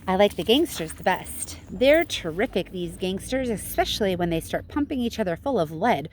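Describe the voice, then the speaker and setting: Storybook role: irritable TV-mad boy of nine, read by a woman, brusque and keen, animated pitch. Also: moderate pace, slight background noise, natural voice. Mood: eager, thrilled. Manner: brusque, enthusiastic. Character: irritable, TV-obsessed nine-year-old boy who loves gangster shows. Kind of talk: children's storybook read-aloud.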